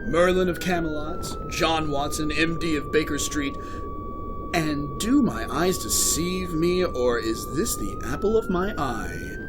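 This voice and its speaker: A man's voice: very high-pitched, huge pitch swings, unhurried, heavy background noise, heavily acted. A man playing a resentful, mocking villain in an audio drama, with a taunting, theatrical delivery. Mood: gloating. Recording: loud music behind.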